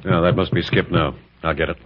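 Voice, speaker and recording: A man's voice: low-pitched, fairly even intonation, fairly quick, a little hiss or hum, close to an everyday voice. A man playing a blunt, combative adventurer captain with casual plain speech in an old radio drama. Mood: brisk, puzzled. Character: brash, plain-spoken American adventurer captain. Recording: muffled vintage radio drama recording.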